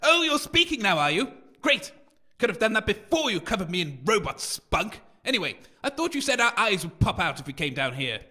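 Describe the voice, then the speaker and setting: A theatrical male grumbler played by an actor in an audio comedy, with a very high, piercing voice. He is a wine-loving adventurer who grouses with melodrama and lively swoops. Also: brisk, heavily acted. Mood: annoyed. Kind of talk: scripted audio comedy acting.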